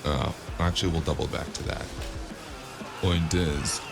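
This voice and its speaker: Man voicing a very deep, weary noir narrator. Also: steady tone, a little slow, vocal fry, exaggerated voice. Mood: fed up. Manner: sardonic, world-weary, foul-mouthed. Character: wry, weary, mouthy cyberpunk noir male drifter narrating. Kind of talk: first-person noir audio drama narration. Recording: music behind the voice.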